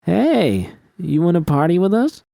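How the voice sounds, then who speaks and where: A man's voice: sits high, big pitch swings, a little slow, creaky, warm tone, voice pushed up, exaggerated voice. A man voicing a rowdy, pushy young woman at a bar in an audiobook story, with a taunting manner.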